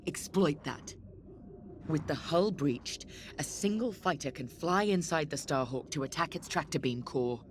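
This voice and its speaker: An actress playing a cold, commanding Imperial officer briefing a pilot in a video game. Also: female voice, deep voice, lively intonation, measured pace, slight background noise. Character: stern woman Imperial officer giving mission orders.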